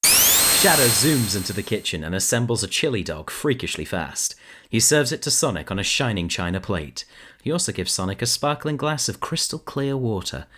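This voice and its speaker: A man's voice: fairly low pitch, sing-song, normal speed, sharp tone, a little hiss or hum. A man narrating a scripted audio drama, reading out the action between the lines of dialogue.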